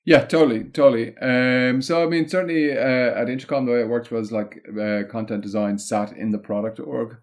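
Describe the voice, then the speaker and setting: A man with an Irish accent explaining things in a casual interview, in a discursive, halting way with plenty of "like" and "you know". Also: mid-range voice, steady tone. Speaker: interview guest, content marketing consultant.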